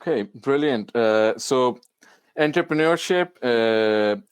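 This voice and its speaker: A man hosting an informal fireside chat, putting his questions together in a halting way. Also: medium pitch, expressive, smooth, no echo. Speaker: event host and interviewer.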